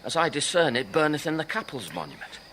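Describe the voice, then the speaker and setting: An actor playing an older, anxious friar in a classical radio drama, formal and urgent. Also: male voice, fairly high pitch, big pitch swings, fast talker, pushed and bright, a little hiss or hum, low-quality recording. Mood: anxious searching. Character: kindly old friar, anxious and horrified.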